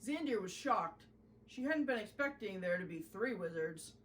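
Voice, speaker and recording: A woman narrating a children's storybook in an expressive, deliberate storytelling manner. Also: medium pitch, recorded from a distance, slight background noise, rough recording. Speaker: host reading a children's story.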